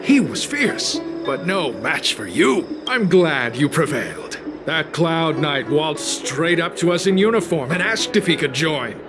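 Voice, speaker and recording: A calm cult recruiter from a game cutscene, unhurried and swooping in pitch. He speaks with formal, polite menace, and music plays underneath. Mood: smugly approving. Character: calm, coolly sinister male cult recruiter. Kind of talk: scripted video game cutscene acting.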